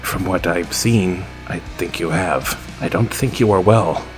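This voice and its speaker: A man voicing a wary, earnest captive friend in a tabletop roleplay, speaking low, subdued and sincere. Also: a little slow, music in the background. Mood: wary, guarded.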